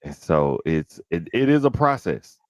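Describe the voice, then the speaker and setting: Deep-voiced man hosting. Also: big pitch swings, a little slow, no room sound. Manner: careful, articulate diction. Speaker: podcast host. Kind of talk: casual interview chat.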